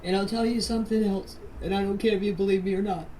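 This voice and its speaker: A woman's voice: medium pitch, fairly even intonation, fast talker, mellow. An older woman from Canada gives an earnest, defensive personal account in a voice message, insisting on her points and repeating them. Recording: distant, noisy phone voice message.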